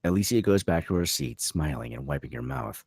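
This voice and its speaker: A man's voice: deep voice, sing-song, a little fast, vocal fry, darkish tone, breathy edge, dry recording, studio quality. A man narrating stage directions as scripted narration at a table read.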